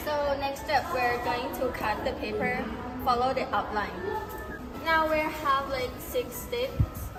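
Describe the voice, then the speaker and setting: A woman's voice: high-pitched, takes it slowly. Echoey, distant female craft demonstrator. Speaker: student demonstrator of a craft tutorial. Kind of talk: casual, unscripted how-to explanation. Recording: echoey, distant microphone, music over it.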